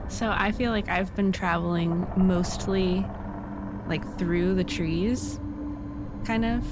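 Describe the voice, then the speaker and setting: Low-pitched woman player, noisy background. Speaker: tabletop game player. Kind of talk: casual improvised description.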